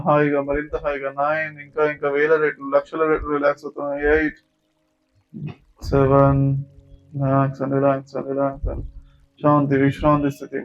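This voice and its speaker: A man with a Telugu accent leading a guided yoga nidra meditation as a teacher, giving slow, repetitive, soothing instructions. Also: a little high. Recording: dull lo-fi sound with music. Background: background music.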